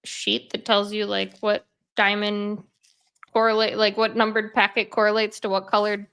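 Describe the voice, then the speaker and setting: This is a woman in a relaxed, rambling conversation, her voice often dropping into a gravelly fry. She sounds slightly congested and pads her sentences with fillers. She talks from home, sitting in bed. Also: medium pitch, moderate pace. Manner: chatty, rambling with many fillers. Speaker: host. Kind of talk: casual chat.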